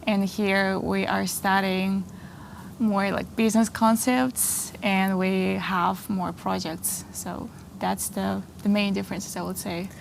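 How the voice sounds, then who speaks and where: A young woman in her twenties from Kazakhstan whose first language is Kazakh, answering interview questions in a measured, slightly formal way in a studio. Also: mid-range voice, flat delivery, gravelly, slight background noise, low-quality recording. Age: 20s. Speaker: interview guest, master's student. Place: small studio. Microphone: lapel.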